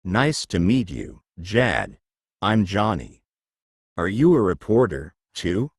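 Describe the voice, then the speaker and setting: Man reading as an earnest, excitable boy reporter. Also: low voice, slow, slightly rough, dry recording, studio quality, full character voice. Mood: friendly. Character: nervous, excitable boy reporter on his first trip.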